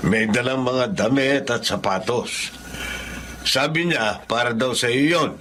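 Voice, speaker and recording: A man playing a gentle, proud father of a poor provincial family in a Filipino radio drama, with a Filipino accent. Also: medium pitch, measured pace, close to an everyday voice. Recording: faint background noise.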